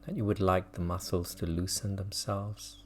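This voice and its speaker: A man leading a guided mindfulness meditation as a teacher, speaking gently with long pauses between phrases. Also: sits low, level tone, a little hiss or hum.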